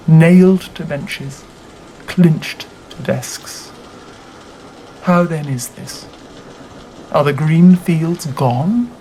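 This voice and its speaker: A narrator reading a classic novel aloud in a measured, deliberate way with dramatic phrasing. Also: medium pitch, dark tone, poor audio. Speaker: audiobook reader. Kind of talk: scripted literary narration. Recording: audible background noise.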